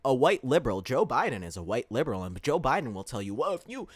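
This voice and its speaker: A man's voice: mid-range voice, big pitch swings, fast, husky, no room sound. A man hosting a podcast, delivering a heated opinion monologue with emphatic restarts. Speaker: podcast host.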